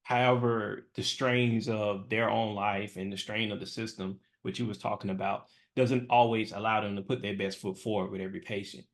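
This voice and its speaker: A young man hosts a casual podcast talk. His voice is fairly low. He rambles easily, with plenty of "like" and "um.". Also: little pitch movement, normal speed, few pauses. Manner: conversational, many fillers like "like" and "um". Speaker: podcast host.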